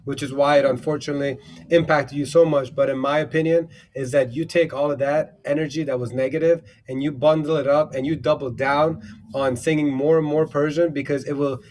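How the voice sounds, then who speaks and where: A man's voice: a little high, fairly even intonation, fairly quick, darkish tone. A man hosting a livestream over a video call, talking in an effusive, rambling way with many fillers and restarts. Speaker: livestream host. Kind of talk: casual livestream chat.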